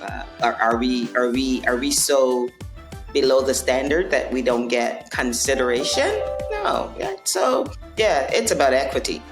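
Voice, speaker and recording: A woman's voice: very deep voice, very animated, a little slow, roomy sound, over music. A woman from Barbados answering interview questions earnestly, often restarting and checking in with "right?". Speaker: non-alcoholic bar owner.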